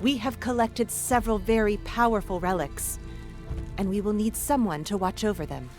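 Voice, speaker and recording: A woman's voice: mid-range voice, normal speed, darkish tone, soft background music, close to an everyday voice. A woman playing a dignified, resolute ally in a fantasy video game, formal and firm. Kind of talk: video game cutscene acting.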